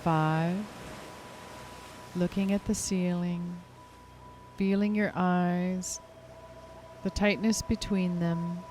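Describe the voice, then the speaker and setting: A woman leading a guided hypnosis meditation in a soothing, lulling, unhurried way. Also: fairly low pitch, fairly even intonation, darkish tone, a little hiss or hum. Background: music.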